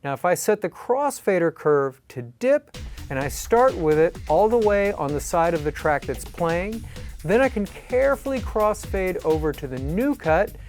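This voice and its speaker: A man calmly explaining step-by-step techniques in a tutorial, as an instructor. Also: high voice, normal speed. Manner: calm, explanatory. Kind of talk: tutorial narration. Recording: faint music behind.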